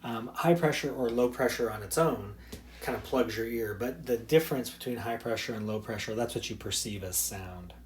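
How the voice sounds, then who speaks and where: A man teaching a physics lesson in a recorded slide talk. He keeps it loose and chatty, full of ums and small restarts. His voice sits at an ordinary middle pitch. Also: normal speed. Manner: casual, loose explaining with many fillers. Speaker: high school physics teacher.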